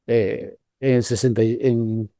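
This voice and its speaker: An older man from Cuba with a Cuban Spanish accent, telling stories in a relaxed, rambling way with many restarts. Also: mid-range voice, takes it slowly, no echo, studio quality. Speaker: guest researcher. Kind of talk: casual conversational storytelling.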